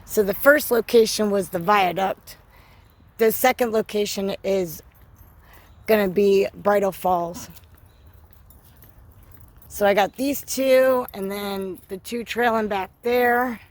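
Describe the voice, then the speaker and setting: Hoarse woman vlogging on a trail. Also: medium pitch, slow pace, pauses often, dry recording. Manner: chatty, lots of ums, talking while walking dogs. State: hoarse from a cold. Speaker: hiking vlogger. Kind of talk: casual walking vlog. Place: outdoors on a wooded trail.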